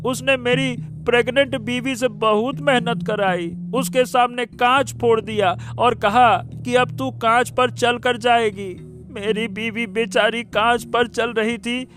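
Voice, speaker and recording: A man voicing a cunning, comical talking donkey in a cartoon story, cheeky and dramatic with drawn-out exclamations. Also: very high voice, steady tone, fairly bright tone, soft background music, heavily acted. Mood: scheming. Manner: drawn-out exclamations, theatrical patter. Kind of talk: Hindi cartoon story acting.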